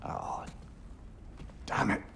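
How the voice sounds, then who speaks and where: An actor playing a wry, kindly older male companion in a video game, with an expressive, drawling delivery. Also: extremely slow, whispered. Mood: sympathetic, dismayed. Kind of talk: cinematic video game acting.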